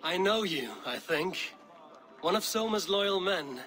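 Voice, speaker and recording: An actor playing a seasoned Viking warrior in a video game, dry, steady and measured. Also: male voice, sits high, heavily acted. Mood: steady, welcoming. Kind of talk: dramatic video game acting.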